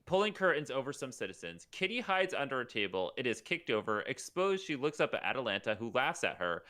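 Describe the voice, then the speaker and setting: A man's voice: mid-range voice, sing-song, brisk, bright, forceful tone. A man co-hosting a live comedic table read, reading stage directions aloud and stopping to joke. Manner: reads stage directions aloud, breaking off for jokes. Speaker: podcast co-host.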